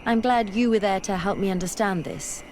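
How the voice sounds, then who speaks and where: An actress plays a curious, skeptical scholar in a fantasy game. She is medium-pitched, thoughtful and determined, with clear ambient noise behind her. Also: moderate pace, a little mellow, natural voice. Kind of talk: video game dialogue acting.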